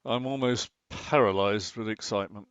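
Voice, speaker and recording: A man's voice: lowish voice, normal speed, dull audio, very noisy, lightly acted. A man acting a grumbling, sarcastic but affectionate husband in a naturalistic radio play, dry and exasperated in manner. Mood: deadpan reluctance.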